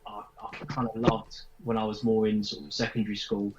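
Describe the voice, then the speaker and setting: A dull, lo-fi recording of a low, flat male voice. He answers as an interviewed band member, rambling casually. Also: a little fast, noisy background. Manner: rambling, heavy hedging with kind of. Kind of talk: casual chat. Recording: dull lo-fi remote sound.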